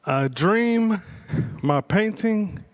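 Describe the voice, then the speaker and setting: A man plays a dreamy painter in theatrical stage style. He drags out each phrase extremely slowly, with halting pauses, in a high male voice that creaks and swings widely in pitch. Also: animated, heavily acted. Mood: dreamy, solemn. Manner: halting, drawn-out dramatic phrasing.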